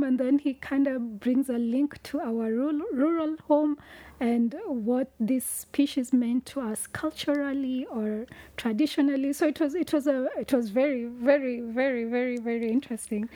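Kenyan woman researcher, warm and dry. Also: high voice, no echo. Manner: thoughtful, repeats words, laughs easily. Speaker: interviewee, cheetah researcher. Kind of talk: casual conversational interview.

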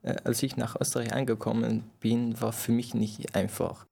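A young man from Iran whose first language is Farsi, telling his story in an interview in halting German with many restarts. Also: medium pitch, little pitch movement, creaky, mellow. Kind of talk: personal interview account.

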